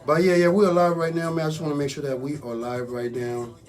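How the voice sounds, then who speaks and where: A man with a raspy voice hosting a casual, improvised community radio show in an exclamatory hype style, repeating catchphrases. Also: fairly high pitch, level tone, fast, faint music behind. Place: radio studio.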